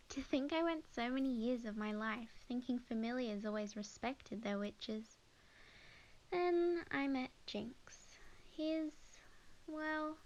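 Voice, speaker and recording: A woman playing a young witch, sweet, flustered and chatty, in an ASMR roleplay, speaking expressively and haltingly. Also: on the high side, rough recording. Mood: musing, amused. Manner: halting, expressive, talks to herself. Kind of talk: ASMR roleplay audio drama monologue.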